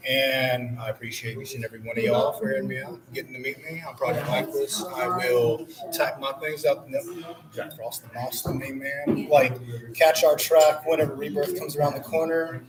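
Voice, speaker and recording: A man's voice: mid-range voice, level tone, moderate pace. A man in his twenties, a musician, answering interview questions in a laid-back, rambling, slangy way. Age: 20s. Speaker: guest musician. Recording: distant mic, loud crowd behind.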